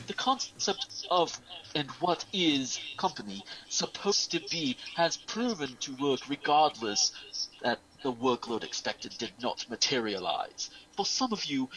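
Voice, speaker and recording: A man's voice: medium pitch, background noise, close to an everyday voice. A man voicing a solemn, melodramatic speechmaker in a comic dramatic reading. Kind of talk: mock-dramatic email reading.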